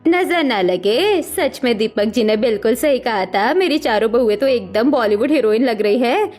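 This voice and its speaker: A woman's voice: a little high, expressive, moderate pace, darkish tone. A woman voicing a harsh, status-obsessed middle-aged mother in a cartoon story, nagging with dramatic scorn. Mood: smugly pleased. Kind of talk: Hindi cartoon story voice acting. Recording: music behind the voice.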